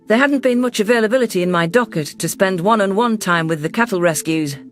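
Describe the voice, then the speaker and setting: High-voiced man reading sci-fi narration. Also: fast talker, faint music behind. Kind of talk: scripted first-person audiobook narration.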